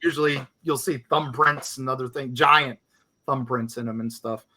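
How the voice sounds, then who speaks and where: Bright-voiced man reading listener letters. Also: mid-range voice, fairly even intonation, normal speed. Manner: loose, filler-heavy, reads letters aloud plainly. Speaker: podcast co-host. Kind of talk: casual chat, reading listener stories.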